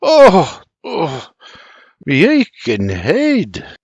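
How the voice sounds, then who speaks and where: A man's voice: a little high, huge pitch swings, drawn-out words, breathy edge, no room sound, muffled recording, voice pushed up, exaggerated voice. A man voicing an irritable, hard-drinking frontier doctor, barking and grumbling in a dramatized story. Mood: groggy, moaning.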